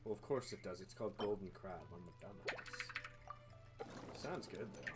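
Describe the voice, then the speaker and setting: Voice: deep voice, moderate pace. A game streamer giving casual, sweary, wry live commentary and talking back to the game. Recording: loud game music behind.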